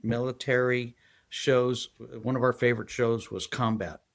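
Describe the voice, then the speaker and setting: A man in his sixties from San Diego, a guest telling his life story in a halting, rambling way full of fillers. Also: mid-range voice, fairly even intonation. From San Diego, USA. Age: 60s. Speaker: guest, retired federal agent. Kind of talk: casual interview storytelling.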